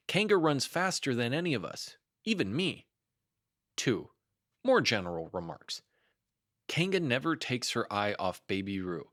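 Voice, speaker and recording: A man's voice: mid-range voice, fairly bright tone. A man voicing a bossy, self-important storybook rabbit with an officious, lecturing manner.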